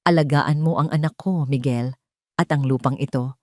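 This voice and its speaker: A woman's voice: very deep voice, normal speed, darkish tone, no room sound, clean studio sound, pitched below the performer's own voice. A woman voicing a loving older father who speaks solemnly and earnestly in an audio drama. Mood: solemn, warning.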